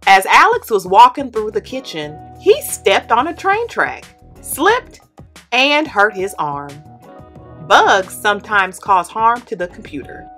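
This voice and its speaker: A young woman, host of the channel, reading a children's picture book aloud in an expressive, animated way. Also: mid-range voice, unhurried, faint music behind. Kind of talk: read-aloud storytelling. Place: indoor studio with plain orange backdrop.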